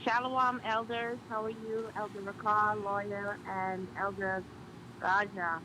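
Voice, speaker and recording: A Bahamian woman calls in to a church show, talking politely and warmly with plenty of ums and small hesitations. Her voice sits at a middling pitch, and clear hiss and background noise run under the line. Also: level tone, a little slow. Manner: polite, chatty, many ums. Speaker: caller. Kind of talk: call-in radio chat.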